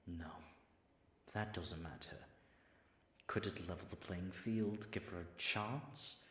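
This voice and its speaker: A man's voice: sits low, slight background noise. A man acting in an audio roleplay as a nervous male dragon disguised as a human guide, stammering and muttering asides to himself. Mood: musing, wary.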